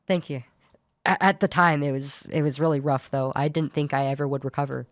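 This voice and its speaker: A young woman with a low voice that is hard to place as male or female, giving an earnest personal account as a podcast guest, often restarting her sentences. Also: fairly even intonation, fairly quick, no echo. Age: young adult. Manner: earnest, searching, many restarts. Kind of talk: personal account in studio talk show.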